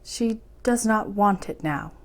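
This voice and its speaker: A woman voicing a grave, tender king and loving father in a storybook, speaking gently and solemnly. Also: medium pitch, slow, natural voice.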